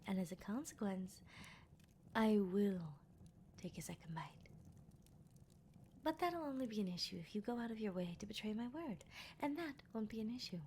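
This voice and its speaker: A woman voicing a possessive female vampire in an ASMR roleplay, breathy and often whispering, with a deliberate, teasing, commanding manner. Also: medium pitch, animated, a little mellow, slight background noise, lightly acted. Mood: soft threat. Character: predatory female vampire, possessive, coolly menacing. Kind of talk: ASMR roleplay, intimate monologue.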